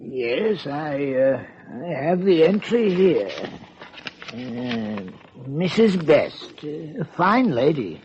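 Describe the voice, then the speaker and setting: An actor playing a worn-out, fawning antique dealer, halting and stammering, in an old radio drama style. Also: male voice, a little high, very animated, a little mellow, slight background noise. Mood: hesitant, obliging. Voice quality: husky, raspy. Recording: muffled old radio recording.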